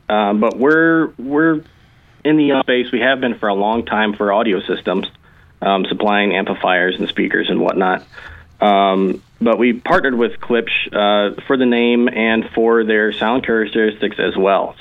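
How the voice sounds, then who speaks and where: Brief: easygoing male guest on a tinny phone line, explaining calmly. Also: fairly low pitch. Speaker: guest, audio engineer. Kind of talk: phone interview. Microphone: telephone.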